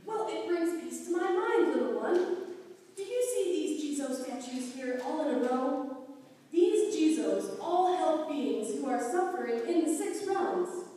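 A woman voicing a kindly, gently chuckling old hat seller man in a live puppet show, with quaintly formal, polite speech. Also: sits high, expressive, unhurried. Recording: echoey hall, far from the mic.

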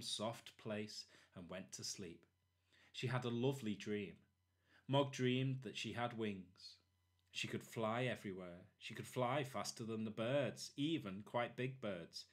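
A man's voice: fairly low pitch, slow. Man reading a children's storybook aloud. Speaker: storybook narrator. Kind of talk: expressive children's read-aloud.

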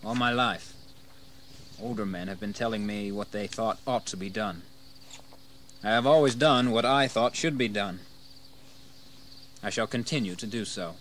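An actor playing a bold, ambitious colonial rebel leader in a history radio drama, speaking in a proud, formal, declamatory manner. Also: male voice, lowish voice, normal speed, pauses often, fairly bright tone, a little hiss or hum, lightly acted. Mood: cool resolve. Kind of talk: dramatized history audio acting.

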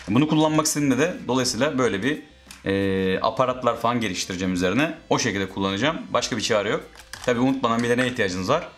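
A man's voice: mid-range voice, fairly bright tone. A man narrating a casual step-by-step how-to as a DIY host.

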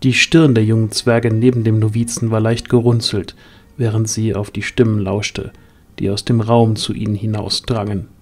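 A man's voice: lowish voice, level tone, moderate pace, darkish tone. A man narrating a scripted fantasy audio drama as the storyteller.

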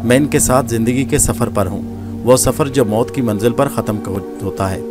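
A man's voice: mid-range voice, lightly acted. A man voicing a furious young woman who hurls bitter, scornful insults at her father's killer, with music behind him. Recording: background music.

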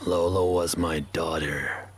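An actor playing a middle-aged, grieving father bent on revenge in a video game, speaking low in a slow, halting, deliberate way. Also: steady tone, slightly rough, full character voice. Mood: brooding, subdued. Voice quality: deep, low voice. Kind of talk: video game cutscene acting. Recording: music behind the voice. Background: music.

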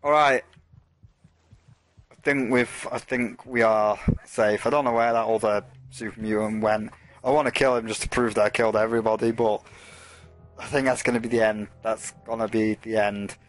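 A man's voice: fairly low pitch, steady tone, normal speed. A man hosting a let's play, rambling casually and swearing as he talks through the game and to his chat. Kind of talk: casual gameplay commentary.

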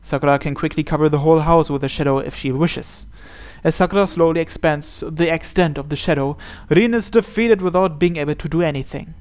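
A man's voice: a little high, fairly quick, few pauses, darkish tone, clean tone. A man narrating a visual novel aloud as host, reading the scripted text.

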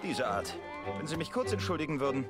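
An actor playing a cocky, street-wise young brawler and bodyguard in a video game. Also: male voice, fairly high pitch, big pitch swings, fairly quick, loud music behind the voice. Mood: brisk, polite.

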